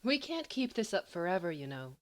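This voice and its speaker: A woman's voice: on the low side, sing-song, a little fast. A woman reading the part of a wry, affectionately bossy teenage girl in a storybook, teasing and mildly dry.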